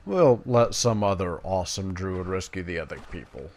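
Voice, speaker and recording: A man's voice: low-pitched, expressive, moderate pace. A man giving casual commentary as he plays a game, muttering playful asides. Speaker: gamer narrating his playthrough. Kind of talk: casual gameplay commentary, reading quest text aloud.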